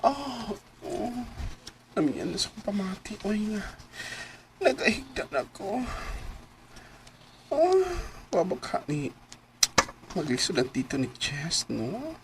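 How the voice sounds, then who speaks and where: A woman's voice: mid-range voice, very animated, slow, pauses often, noisy background. A woman playing a young transgender woman in love, tender and easily distressed, in a Bisaya radio drama with a Cebuano Filipino accent. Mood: woozy, low.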